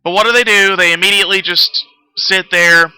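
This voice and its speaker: A man's voice: high voice, steady tone, a little fast, pushed and bright, clear, resonant tone, no room sound, low-quality recording. A man telling stories casually on a game stream, rambling absent-mindedly and often losing his thread. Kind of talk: casual live-stream storytelling while gaming. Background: background music.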